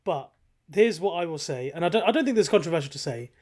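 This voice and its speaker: A man's voice: on the high side, expressive, quick pace, a little mellow. A man co-hosting a podcast, giving opinions in an informal, sweary way. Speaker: podcast co-host. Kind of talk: casual, opinionated podcast chat.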